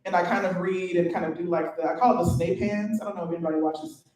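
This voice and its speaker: A woman who is a poet and teacher, speaking on a live panel, excitable and quick to laugh as she tells stories. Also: fairly low pitch, slight background noise. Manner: excitable, laughing, gesturing storyteller. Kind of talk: panel talk. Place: event venue, live panel. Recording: very echoey, distant from the mic.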